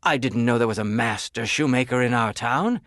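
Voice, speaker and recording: A man voicing a wealthy, admiring gentleman customer in a storybook, generous and enthusiastic. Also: mid-range voice, moderate pace, dry recording, clean studio sound, lightly acted. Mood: admiring, eager.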